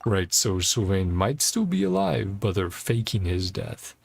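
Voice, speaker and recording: A man's voice: on the low side, big pitch swings, unhurried, vocal fry, slightly rough. A man doing casual video game commentary, thinking aloud as he pieces a mystery together, with game music behind him. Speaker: streamer playing a video game.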